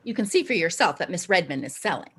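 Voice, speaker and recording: A woman's voice: on the low side, sing-song, fast talker, a bit bright, husky, heavily acted. A woman playing a forward, friendly busybody of a suburban housewife in a staged play reading. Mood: nosy, bright. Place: video call.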